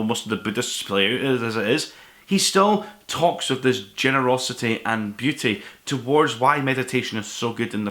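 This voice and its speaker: Man, bright forceful voice, book review host, earnest, emphatic, conversational delivery. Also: mid-range voice. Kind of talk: enthusiastic spoken book review to camera.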